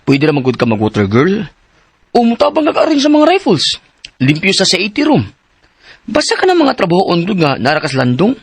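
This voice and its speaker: A practical young student with a Cebuano accent in a radio drama. The voice is husky and high, very lively in its melody, recorded dry. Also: male voice, brisk, no echo, full character voice. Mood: practical, concerned.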